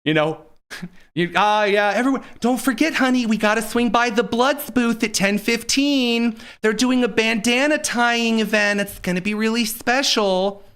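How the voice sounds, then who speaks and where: A man from Indiana hosting a political commentary show, mocking and sarcastic, with quick asides. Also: very high voice, fairly bright tone, smooth. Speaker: host.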